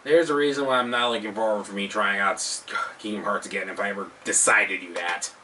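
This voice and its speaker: A man hosting a video countdown, delivering scripted commentary with self-deprecating, jokey asides in an animated manner. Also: lowish voice, quick pace, distant mic, slight background noise. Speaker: host of a video countdown show.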